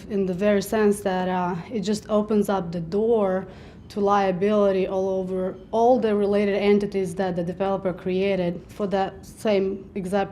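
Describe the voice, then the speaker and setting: A woman from Romania, an attorney giving a measured seminar presentation with frequent pauses. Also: medium pitch, a little mellow, slight background noise. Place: seminar room.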